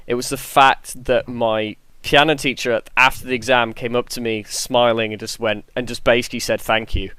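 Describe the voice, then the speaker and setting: A man's voice: medium pitch, little pitch movement, normal speed, few pauses, sharp tone, smooth, dry recording. A man speaking casually and earnestly as a panelist on a podcast, in a reflective way.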